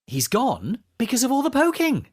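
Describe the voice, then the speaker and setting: An actor playing a quirky, gently scolding adventurer for small children, with a fussy, animated manner. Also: male voice, very high-pitched, normal speed, breathy edge, exaggerated voice. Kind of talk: children's audio drama performance.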